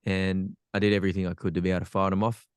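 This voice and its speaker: Smooth low-voiced Australian man. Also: flat delivery, very fast, dry recording, studio quality. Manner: frank, self-deprecating humor. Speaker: podcast guest. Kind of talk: candid personal storytelling.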